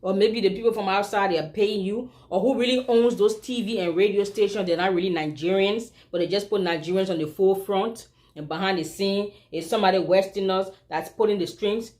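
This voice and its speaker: A woman from Liberia with a Liberian accent, speaking at a middle pitch. She argues her point as a vlogger, emphatic and pressing her viewers with rhetorical questions. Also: brisk, hardly any pauses, darkish tone. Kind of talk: opinion commentary.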